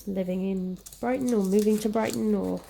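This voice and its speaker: Croaky-voiced Welsh woman chatting while crafting. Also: medium pitch, steady tone, moderate pace, warm tone, slight background noise. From Wales. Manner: chatty, rambling, self-deprecating. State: slightly croaky from illness. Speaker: scrapbooking crafter. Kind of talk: casual crafting chat.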